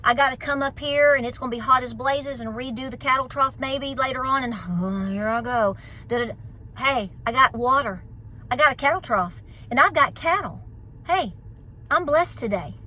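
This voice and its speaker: High, bright Appalachian Southern woman. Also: moderate pace, rough recording. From United States. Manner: emphatic, exhorting, folksy. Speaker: homesteading vlogger. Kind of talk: casual motivational vlog talk.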